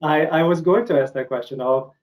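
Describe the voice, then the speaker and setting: A man's voice: fairly high pitch, a little fast. A man in his forties hosting an interview over a video call, asking questions with many hesitations. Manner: hesitant, many fillers and restarts. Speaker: host. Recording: slightly dull video call audio.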